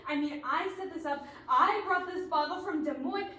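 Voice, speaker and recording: A woman's voice: extremely high pitch, moderate pace, pushed and bright. A woman performing an upset, accusing reality show contestant in a readers theatre piece, indignant and confrontational. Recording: distant mic, echoey room, background noise.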